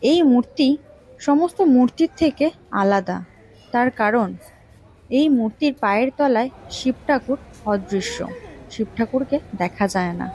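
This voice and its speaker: High-voiced woman narrating history. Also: lively intonation, measured pace, darkish tone, low-quality recording. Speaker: vlog host and narrator. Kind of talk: scripted storytelling narration of local temple history.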